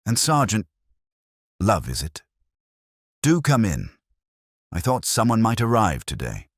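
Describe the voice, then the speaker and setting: A man's voice: lowish voice, wildly swooping pitch, unhurried, dry recording, clean studio sound, pitched above the performer's own voice, heavily acted. A man voicing a bland, evasive retired solicitor in a detective story, formal, fussy and resigned. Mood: composed politeness.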